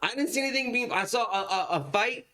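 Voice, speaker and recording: A man's voice: very high voice, fast talker, pushed and bright. A man co-hosting a podcast in casual chat, incredulous and swearing freely. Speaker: podcast host.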